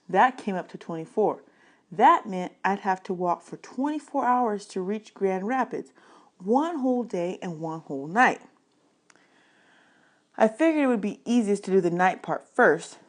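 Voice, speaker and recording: A woman's voice: on the low side, a little mellow. A woman reading a children's novel aloud as audiobook narration, in the manner of a teacher.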